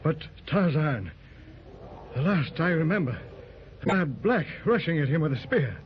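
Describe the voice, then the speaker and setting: A frail old expedition leader, played by a man, fretful and shaky, his pitch swinging widely. It is dated 1930s radio drama acting. The recording is dull, with a faint hiss. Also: big pitch swings, a little slow. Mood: shaken. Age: older. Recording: muffled 1930s radio transcription.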